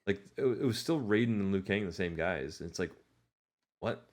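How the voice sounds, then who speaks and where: A man with a very deep voice speaks as a podcast co-host. He talks casually and conversationally, as if chatting with friends about films. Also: animated, moderate pace, slight background noise. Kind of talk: casual chat about movies.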